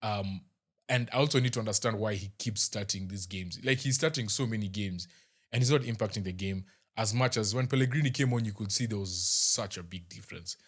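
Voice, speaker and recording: Chatty young Kenyan man. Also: fairly low pitch. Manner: chatty, halting, with many fillers and restarts. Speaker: rugby show host. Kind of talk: casual match review.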